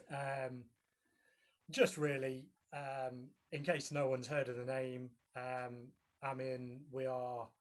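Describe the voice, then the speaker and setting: A man giving an informal introduction as a presenter on an online video call, speaking haltingly in short pieces. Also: mid-range voice. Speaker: presenter introducing his company and colleague.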